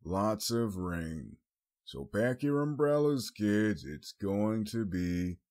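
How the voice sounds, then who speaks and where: A man voicing a playful pony who mimics television announcers in dull or mock-serious, drawn-out phrases. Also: on the low side, lively intonation, a little mellow. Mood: dull, deadpan.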